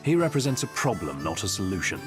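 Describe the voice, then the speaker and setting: An actor voicing a composed, articulate young lord's companion in a video game, speaking precisely and seriously. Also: male voice, sits low, sing-song, fast, music in the background.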